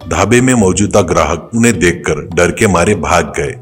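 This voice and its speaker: A man's voice: deep voice, lively intonation, normal speed, soft background music. A man narrating a scripted moral story.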